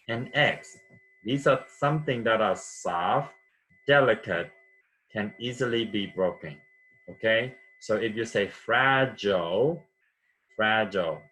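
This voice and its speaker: A man's voice: fairly low pitch, bright, forceful tone, low-quality recording. A man from Vietnam teaching vocabulary patiently, repeating words and checking with "okay?". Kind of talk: classroom vocabulary lesson.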